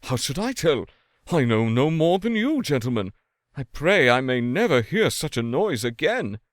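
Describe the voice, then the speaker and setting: A man's voice: mid-range voice, big pitch swings, normal speed, no echo, clean studio sound, lightly acted. A man voicing a distressed, helpless hotel landlord who speaks in a plaintive, bewildered way in a storybook reading. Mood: frightened.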